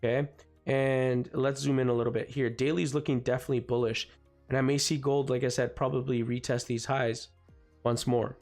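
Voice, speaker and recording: A man with a slightly raspy voice from the cold hosting a live trading stream, chatting casually and filling gaps with "guys" and "you know what I mean". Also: medium pitch, flat delivery, brisk, darkish tone. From Canada. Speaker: forex trading livestream host. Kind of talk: live market commentary. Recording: faint music behind.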